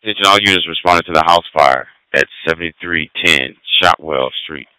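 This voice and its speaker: A man's voice: rumbling low, brisk, sharp tone, smooth, no room sound, poor audio. A man dispatching fire and emergency units over two-way radio, procedural and repeating key details.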